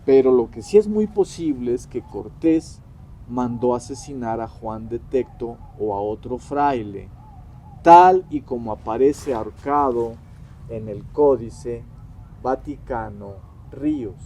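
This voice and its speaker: A man delivering scripted critical commentary like a lecture, deliberately and emphatically, pausing between short phrases. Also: mid-range voice, lively intonation, dark tone, a little hiss or hum. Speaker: historian-critic presenting a critique.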